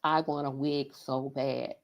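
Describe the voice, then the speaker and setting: A woman's voice: rumbling low, flat delivery, measured pace, gravelly, noisy background. A woman in her forties hosting a podcast interview, talking in a playful, self-teasing way. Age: 40s. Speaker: podcast host. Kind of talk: casual interview chat.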